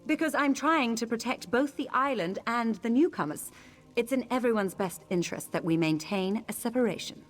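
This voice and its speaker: An actress voicing a proud, imperious high elf noblewoman in a video game, formal and haughty. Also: normal speed, soft background music, close to an everyday voice. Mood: scornful, self-assured. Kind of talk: video game character acting.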